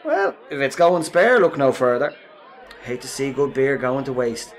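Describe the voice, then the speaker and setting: An actor with a Dublin accent playing a jovial, easygoing pub drinker in a radio play, chatty and matey. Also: male voice, mid-range voice, sing-song, normal speed. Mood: matey banter. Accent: Irish, Dublin. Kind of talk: radio play acting in colloquial dialogue. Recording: pub crowd chatter behind.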